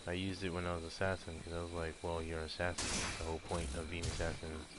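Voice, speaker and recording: A man's voice: low voice, completely flat delivery, fast, very noisy. A man giving casual, rambling gameplay commentary, explaining things and thinking aloud as he plays. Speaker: gaming video host playing through a game. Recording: game music under the voice. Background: fantasy game music and sounds.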